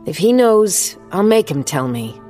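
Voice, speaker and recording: A woman's voice: mid-range voice, sing-song, unhurried, dark tone, soft background music. A woman playing a determined, wry small-town police chief who narrates a dramatized audiobook in a firm, dry way. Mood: guarded, reflective. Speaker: narrator and lead character.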